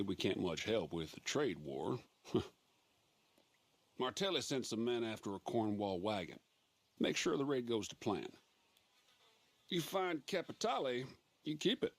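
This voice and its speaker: An actor playing a shrewd, coolly menacing Old West operator in a video game, speaking in measured, sententious phrases. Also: male voice, lowish voice, husky, no room sound, full character voice. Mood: brisk, calculating. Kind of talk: video game cutscene acting.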